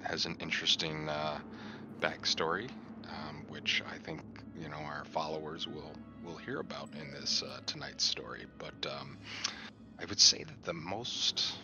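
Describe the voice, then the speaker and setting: A man's voice: very deep voice, fairly even intonation, unhurried, music in the background. A man chatting casually as a tabletop game player, with dry joking. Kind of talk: casual chat. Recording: clearly noisy background.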